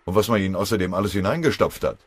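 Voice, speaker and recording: A man's voice: low-pitched, racing, breathy edge, no room sound. A man voicing a bossy but fatherly German foreman in a war story, grumbling and barking sarcastic orders. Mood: gruff, resigned.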